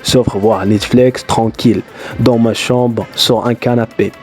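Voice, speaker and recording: A man's voice: medium pitch, steady tone, slow pace, over music. A Moroccan man whose first language is Darija, chatting casually in loose, non-native French as he vlogs and tells stories, with lots of fillers. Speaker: YouTuber. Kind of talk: casual vlog storytelling.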